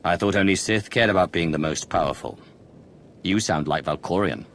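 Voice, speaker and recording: A man's voice: low voice, normal speed, faint music behind. A man voicing a guarded, commanding Sith protagonist in a video game, with a controlled, skeptical, dry delivery. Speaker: video game character voice actor. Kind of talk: scripted dramatic game dialogue.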